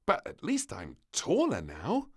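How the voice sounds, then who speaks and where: A man's voice: fairly high pitch, wildly swooping pitch, measured pace, breathy edge, pitched above the performer's own voice, full character voice. A man voicing a bossy, whiny little princess girl in a storybook, with piping, squealing delivery.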